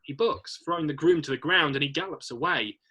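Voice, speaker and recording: A male narrator reads scripted stage directions aloud. His voice is fairly high and forceful, with a husky, rough edge. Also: fairly quick.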